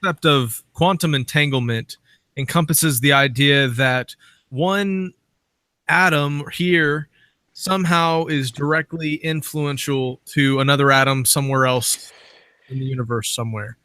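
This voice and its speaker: A man's voice: fairly high pitch, steady tone, measured pace, pushed and bright, dry recording. A man hosting a live-streamed interview show in a casual, conversational style.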